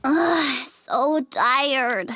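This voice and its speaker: A woman's voice: high voice, very slow, sharp tone, faint music behind, heavily acted. A woman voicing a sarcastic young female soldier in a video game, sassy and dramatic, given to complaining. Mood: weary, griping. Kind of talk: English video game voice acting.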